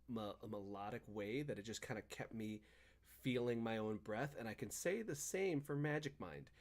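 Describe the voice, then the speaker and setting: A man hosting a podcast, talking in casual banter and chatty ad reads with an emphatic, swinging delivery. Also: mid-range voice. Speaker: podcast and stream host.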